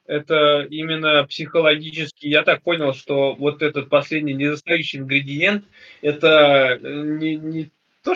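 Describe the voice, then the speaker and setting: Bright-voiced man in his early thirties, blunt. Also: on the high side, highs cut off. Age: early 30s. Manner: blunt, colloquial, swears. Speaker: podcast co-host. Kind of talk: casual film discussion with joking paraphrases.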